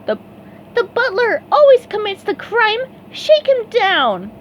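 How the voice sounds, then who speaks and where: Woman doing a super high-pitched excitable sponge guy, cheerful, voice jumping all over. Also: slow, muffled recording, slight background noise, full character voice. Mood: earnest. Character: eager cheerful young male sea sponge.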